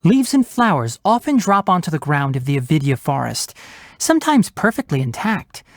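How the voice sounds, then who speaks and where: Lively, scholarly young fox-eared ranger. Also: male voice, on the high side, moderate pace, no echo, studio quality. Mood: instructive, composed. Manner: precise, articulate, wry, matter-of-fact. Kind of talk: video game character voice lines.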